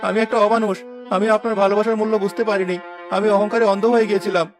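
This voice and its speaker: A man's voice: high voice, level tone, racing, music in the background, natural voice. A man playing a proud, hot-headed young village weaver in a dramatic moral-story cartoon. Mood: remorseful, pleading.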